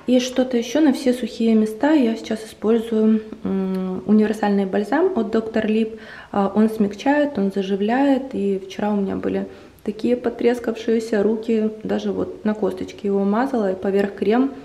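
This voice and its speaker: A young woman chatting to the camera at home, enthusiastic and talkative, with drawn-out hesitations. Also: mid-range voice, dark tone. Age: young adult. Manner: chatty, enthusiastic about cosmetics, drawn-out fillers. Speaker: beauty vlogger. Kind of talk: casual chat to camera. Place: home room indoors.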